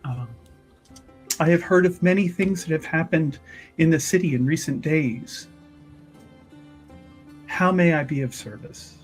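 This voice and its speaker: A man's voice: on the high side, unhurried, pauses often, soft background music, close to an everyday voice. A man voicing a devout, earnest female pilgrim in a tabletop fantasy game, speaking formally and reverently. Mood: respectful, earnest.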